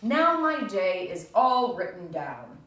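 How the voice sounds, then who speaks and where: Storybook Toad, played by a woman: earnest, orderly, deliberate, animated pitch, strong room echo. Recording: echoey room, distant mic, faint noise.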